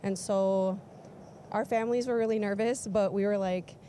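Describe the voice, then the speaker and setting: A woman's voice: medium pitch, steady tone, moderate pace, warm tone, slight background noise. An American woman from South Dakota answering interview questions casually as a tourist. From United States, South Dakota.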